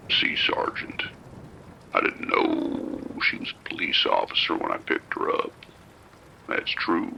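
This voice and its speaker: A man doing a creepy abductor with a voice sunk way down low and full of grit, husky and breathy at the edges. he drawls slowly but his tone swoops up and down in lively turns, soothing and menacing at once in this audio drama. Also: exaggerated voice, rough recording. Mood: coaxing menace. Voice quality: gravelly whispery voice. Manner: slow, coaxing and unhurried. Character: creepy soft-spoken male abductor, calm and menacing.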